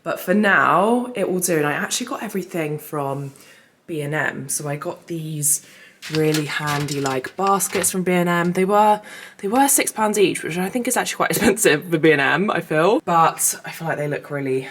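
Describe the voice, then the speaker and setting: A woman's voice: sits low, moderate pace. A woman, chatting casually in a home vlog with a stuffy nose from a cold. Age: late 20s. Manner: chatty and upbeat. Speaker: lifestyle vlogger. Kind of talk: casual vlog chat to camera. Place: home kitchen.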